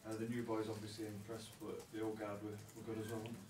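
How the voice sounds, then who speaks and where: Brisk flat male reporter, off-mic. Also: on the low side. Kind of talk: press conference questions. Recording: off-mic, distant.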